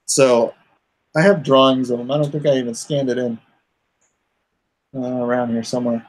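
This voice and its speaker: A man in a casual unscripted chat, explaining his creative project in a halting way as he searches for words. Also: medium pitch, flat delivery, poor audio.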